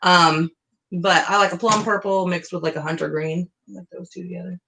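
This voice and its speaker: A woman's voice: lowish voice, steady tone, fairly quick, pushed and bright, slight background noise, poor audio. A woman, co-hosting a casual live Q&A, chatty and self-deprecating with lots of fillers. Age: 30s. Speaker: podcast co-host.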